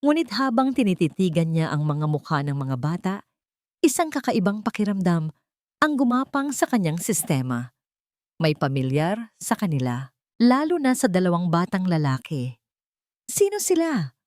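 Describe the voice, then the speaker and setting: Low-voiced woman narrating romantic drama. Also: very animated, normal speed, darkish tone, dry recording, studio quality. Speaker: story narrator.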